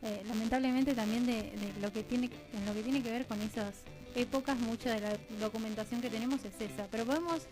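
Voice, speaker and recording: Radio guest, female, Argentine accent, higher register, reflective conversational delivery, faint music bed. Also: steady tone, a little fast, few pauses, rough recording. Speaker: radio drama producer and guest.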